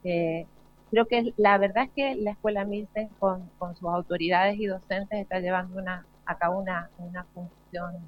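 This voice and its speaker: An Argentine woman speaking as a panel guest in a deliberate, reflective and somewhat hesitant way. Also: lowish voice, steady tone. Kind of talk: reflective unscripted panel talk. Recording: dull lo-fi live broadcast.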